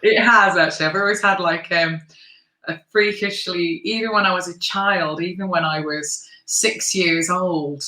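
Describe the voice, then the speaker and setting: A deep-voiced English woman talks freely as an interview guest, her tone bright and forceful despite the low register. She laughs easily and restarts her sentences as she goes. The recording carries a noticeable roomy echo. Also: fairly even intonation, moderate pace. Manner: chatty, laughs often, many restarts. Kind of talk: conversational interview.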